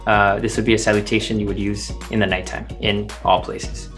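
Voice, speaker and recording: A man's voice: on the low side, flat delivery, a little fast, fairly bright tone. A man teaching in a scripted language lesson, explaining words and their meanings step by step. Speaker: teacher, translator. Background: music.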